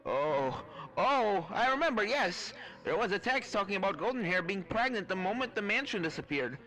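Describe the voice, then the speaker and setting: A man's voice: sits high, sing-song, normal speed, exaggerated voice, rough recording. A man voicing a fretful, kindly eccentric professor pony in a fan story reading, fussy and theatrical. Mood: grave, anxious. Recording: loud game music under the voice.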